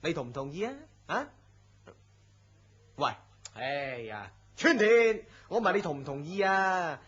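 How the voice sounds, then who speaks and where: Nosy gossiping office pal, highish voice. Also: male voice, sing-song, a little slow, fairly bright tone, breathy edge, heavily acted. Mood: teasing, nosy. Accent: Hong Kong Cantonese. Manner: gossipy, exclamatory. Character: nosy, superstitious, teasing male office worker and roommate. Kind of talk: radio drama acting. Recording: muffled lo-fi audio with faint noise.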